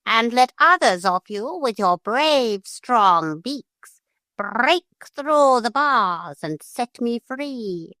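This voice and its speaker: A woman voicing a talking parrot who speaks earnestly, calmly and formally. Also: fairly high pitch, slow pace, no echo, pitched above the performer's own voice, exaggerated voice. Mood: earnest pleading. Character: earnest, stately talking parrot, polite but commanding.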